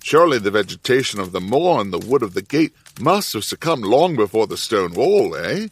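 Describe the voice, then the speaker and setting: An actor playing a thoughtful, self-doubting Victorian doctor, measured and dry in audio drama narration and dialogue. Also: male voice, on the low side, very animated, breathy edge. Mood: curious, probing.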